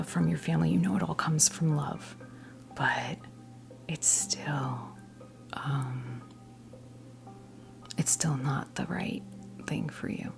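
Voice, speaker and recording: A woman in her fifties speaks in a hushed, breathy whisper that slips into heavy vocal fry. Her voice sits fairly low and she reads tarot in a soothing ASMR style, with frequent pauses. Music plays behind her. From New Jersey, United States. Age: 50s. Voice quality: breathy whisper mixed with soft creaky voice. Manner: slow, halting, pausing often, reassuring. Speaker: ASMR performer giving a tarot reading. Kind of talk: whispered ASMR role play, unscripted tarot reading.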